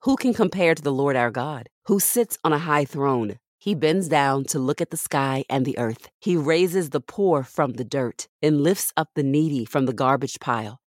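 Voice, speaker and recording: Woman, low voice, scripted Bible reading, devotional podcast reader, dry close recording. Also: animated, normal speed, hardly any pauses, dry recording.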